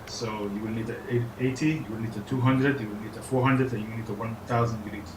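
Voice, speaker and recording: A man putting on a French accent as he presents an informal how-to tutorial in a hesitant, halting way. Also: medium pitch, steady tone. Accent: put-on French. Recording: roomy echo, faint background noise.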